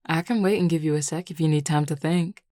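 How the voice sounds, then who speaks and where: A woman's voice: deep voice, fairly even intonation, fast talker, mellow, no echo, clean studio sound, lightly acted. A woman acting a flirtatious, teasing Southern werewolf in an audio roleplay, with a Southern US accent and a colloquial drawl. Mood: playful, flirty.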